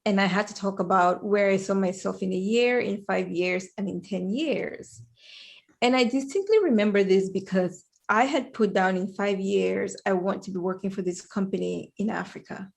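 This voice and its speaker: A woman from Cameroon, a corporate lawyer, answering interview questions in a measured, reflective storytelling way over a headset on a remote call. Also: mid-range voice, gravelly. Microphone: headphones with mic.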